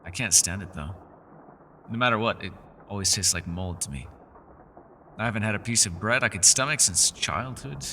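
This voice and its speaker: A man voicing a centuries-old elf in a fantasy roleplay, gentle, socially awkward and chatty, with formal, old-fashioned, apologetic phrasing. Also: lowish voice, moderate pace, a little hiss or hum. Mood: amused distaste. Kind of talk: fantasy ASMR roleplay voice acting.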